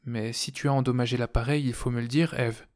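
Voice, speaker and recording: A man's voice: medium pitch, fairly even intonation, fast talker, darkish tone, close to an everyday voice. An earnest male astronaut in a science fiction audiobook, voiced by a male narrator. He is pleading and insistent, reasoning hard with his crewmate. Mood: hesitant, pleading. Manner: reasoning, pressing.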